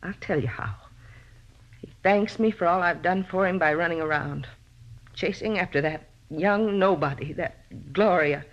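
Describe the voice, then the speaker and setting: A woman's voice: on the low side, normal speed, natural voice. An actress plays a middle-aged faded female star, possessive and bitter. She pleads and justifies herself in the heightened style of 1950s radio drama. The recording is dull, vintage lo-fi broadcast sound.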